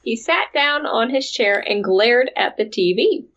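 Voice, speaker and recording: Lively higher-voiced woman reading aloud, muffled audio. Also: a little slow, slight background noise. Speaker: comedy podcast co-host. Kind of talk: reading fan fiction aloud. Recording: dull lo-fi microphone sound.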